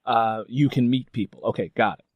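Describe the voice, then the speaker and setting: Male interviewer, middle-aged, American accent. Deliberate, conversational, medium pitch, dry recording. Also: husky, no echo, dull audio. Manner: deliberate, rephrases his questions. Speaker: interviewer and host.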